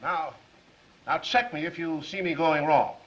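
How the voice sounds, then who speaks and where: A shrewd, coolly insistent district attorney with a bright, forceful, fairly high voice. He questions deliberately and with pointed politeness, in the manner of 1930s film acting, on a muffled old soundtrack. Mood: mock-polite.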